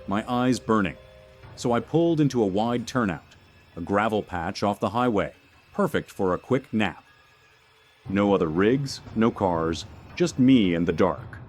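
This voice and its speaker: A man narrating a scripted first-person horror story in a measured, dramatic, suspenseful manner. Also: fairly low pitch, darkish tone. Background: background music.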